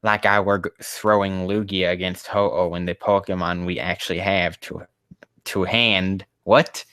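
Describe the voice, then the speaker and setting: Bright-voiced man reading and riffing, close and dry. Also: low-pitched, fairly even intonation, unhurried, clear, resonant tone, no room sound. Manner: profane, exasperated, rambling asides. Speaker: streamer reading fan fiction aloud. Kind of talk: casual livestream reading with running critique.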